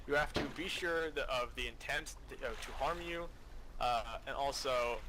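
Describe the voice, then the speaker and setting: A man's voice: mid-range voice, moderate pace, low-quality recording. A foul-mouthed, bossy man giving an improvised mission briefing as squad leader over online game voice chat. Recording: loud background noise, game ambience.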